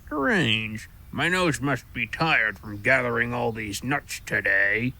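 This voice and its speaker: Casting: a proud, easily offended giant squirrel for audio drama, bright forceful delivery, unhurried, blustering. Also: male voice, medium pitch, expressive, exaggerated voice. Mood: boastful, storytelling. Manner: blustering, boastful storytelling. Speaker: voice actor. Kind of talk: audio drama character acting.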